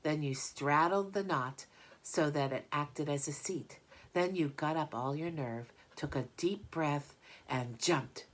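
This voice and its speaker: A woman's voice: low voice, slow, rough recording. A woman narrating a children's storybook aloud as a reader. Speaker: audiobook reader.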